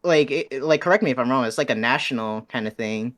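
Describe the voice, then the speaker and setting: Guy interviewing his buddy, super chill, jokey, lots of um and like, mid pitch. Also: brisk, a crowd in the background. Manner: relaxed, joking, rambling with fillers. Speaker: guest interviewer, podcast host. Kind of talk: casual chat, interviewing.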